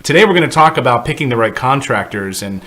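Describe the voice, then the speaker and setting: A man's voice: medium pitch, quick pace. A middle-aged man hosting an interview show, upbeat and chatty as he introduces his guest and asks questions. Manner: energetic, plugs channel, jokes about names. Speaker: show host.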